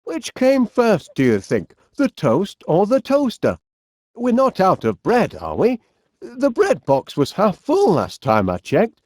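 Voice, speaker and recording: A cheerful, eccentric middle-aged inventor from a cartoon video game. He has a high voice with a Northern English accent that swings widely up and down. He talks unhurriedly and chummily, musing aloud in genteel, old-fashioned turns of phrase. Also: wildly swooping pitch, takes it slowly, a little mellow, dry recording, studio quality, full character voice. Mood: chatty musing. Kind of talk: animated video game character voice lines.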